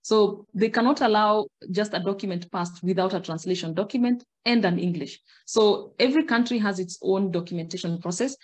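A woman's voice: medium pitch, brisk, few pauses. Kenyan woman explaining on a call. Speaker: guest, migration agent. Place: indoor room. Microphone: video call.

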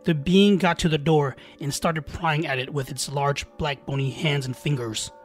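A man's voice: on the high side, fairly even intonation, normal speed. A man tells a scary folktale in a slightly raised register. His delivery is scripted and dramatic, building suspense as a storyteller does, over background music. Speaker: storyteller, narrator.

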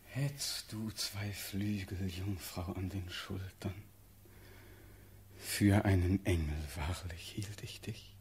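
An actor playing a dreamy young prince full of feeling, speaking classical verse in a radio drama with halting, reverent delivery. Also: male voice, low voice, fairly even intonation, darkish tone, slight background noise. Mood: awestruck.

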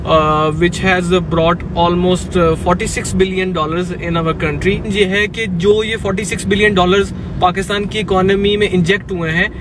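A young man with a Pakistani accent explaining the stock market rapidly, with many fillers, while riding in a car at night. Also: high voice, steady tone. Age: young adult. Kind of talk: casual explanation mixing English and Urdu. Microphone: camera held by the driver. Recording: noisy car from a distance.

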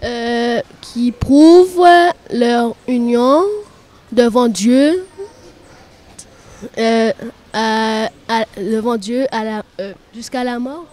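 A teenage schoolgirl answering interview questions on a handheld mic, halting and searching for words. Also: a little high, dark tone. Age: teens.

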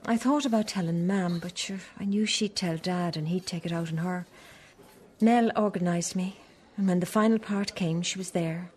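An actress playing an older Irish mother in a radio drama, reflective and halting as she confesses her past. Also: lowish voice, a little mellow, natural voice. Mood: subdued, regretful. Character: older Irish mother, candid, regretful, recalling her youth. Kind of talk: naturalistic radio drama acting.

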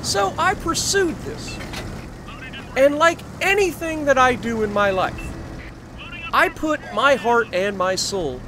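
An American man in his thirties tells a casual story with plenty of joking exclamation. His voice sits high and swings widely up and down. Clear game and engine noise runs behind him. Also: slow pace. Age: 30s. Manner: animated, jokey, exclamatory. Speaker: gaming video creator. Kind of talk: casual storytelling over gameplay.

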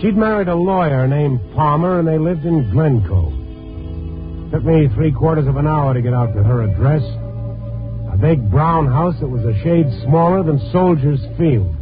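An actor playing a dogged, world-weary newspaper reporter in the hard-boiled style of 1950s radio drama. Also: male voice, a little high, lively intonation, moderate pace, phone-quality sound, faint music behind, natural voice. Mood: grim, determined. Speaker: lead and narrator. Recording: band-limited vintage radio recording.

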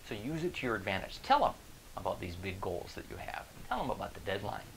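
A middle-aged American man speaks like a keynote motivator, casual and emphatic, tossing out questions to the listener. His voice has a gravelly creak, with a faint bed of room noise behind it. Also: on the low side, fast, low-quality recording. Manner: animated, conversational, emphatic, rhetorical questions. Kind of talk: casual motivational video talk to camera. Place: small indoor room. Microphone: lapel.

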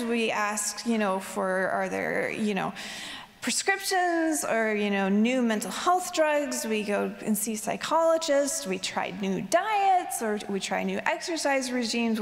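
A woman's voice: a little high, creaky. A young woman giving an earnest testimony talk on a chapel stage into a handheld mic, with many ums and you knows. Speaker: chapel speaker. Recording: echoey hall with long reverb.

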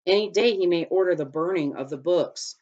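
A woman reading aloud voices a gentle male scholar from ancient China, speaking with earnest solemnity. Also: on the low side, fairly quick, pitched above the performer's own voice, lightly acted. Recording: dull lo-fi recording.

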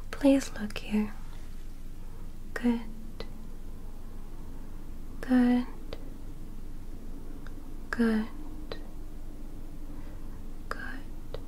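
A woman voicing a gentle, curious alien medical examiner in an ASMR roleplay, in a soft, breathy whisper with deliberate short instructions. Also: on the high side, a little hiss or hum, low-quality recording. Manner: slow, deliberate, short instructions with simple praise. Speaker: ASMR performer playing an examiner.

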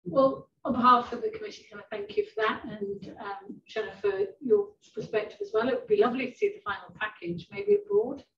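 A woman's voice: fairly high pitch, animated, fairly quick, hardly any pauses, slight background noise. A woman chairing a committee meeting, upbeat as she wraps up business. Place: large meeting room. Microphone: far room microphone. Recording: echoey room from far off, dull.

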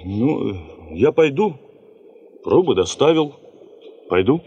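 An actor playing a cheeky former circus performer turned gold-panner in a radio play, casual in manner. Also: male voice, mid-range voice, big pitch swings, slow pace, darkish tone, muffled recording, slight background noise. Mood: cheeky, puzzled. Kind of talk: 1970s Soviet radio play acting.

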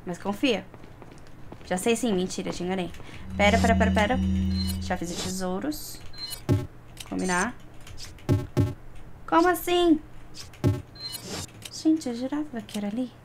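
A young adult woman with a Brazilian Portuguese accent giving casual gameplay commentary, often repeating words in excited bursts. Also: medium pitch, measured pace, long pauses. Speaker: gaming streamer. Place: indoor room at home. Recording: game music behind the voice. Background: video game music.

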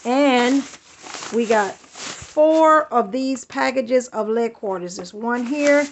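Woman vlogger, thirties, slightly high voice, chatty and grateful, phone-filmed at home. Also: slow pace, a little hiss or hum, low-quality recording. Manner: warm, thankful, chatty. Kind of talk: casual home vlog. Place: home kitchen, indoors. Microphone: handheld phone camera.

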